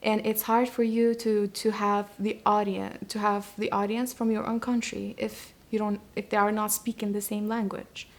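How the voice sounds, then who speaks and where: A young woman from Iraq, a poet and translator with a Kurdish accent, answering interview questions in a reflective way with many pauses and restarts. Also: mid-range voice, level tone. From Iraq, Kurdish region.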